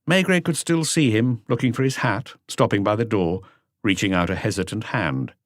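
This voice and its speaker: A man's voice: fairly low pitch, normal speed, no room sound, clean studio sound. A man narrating an audiobook story from a script.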